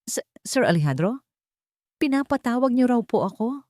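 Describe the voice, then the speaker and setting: A woman's voice: fairly high pitch, sing-song, moderate pace, a little mellow, no room sound, studio quality, voice pushed up. A woman voicing a stammering, frightened old servant man. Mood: fearful, hesitant. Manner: stammering, deferential. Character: nervous, pleading elderly male maintenance worker, servile and guilt-ridden.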